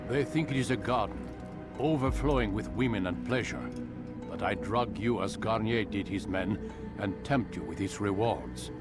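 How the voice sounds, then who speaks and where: An actor playing a commanding, calculating mentor of medieval assassins in a video game, speaking in a measured, formal way. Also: male voice, lowish voice, natural voice. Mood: measured, knowing. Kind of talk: video game drama acting. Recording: loud game music under the voice. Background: video game music.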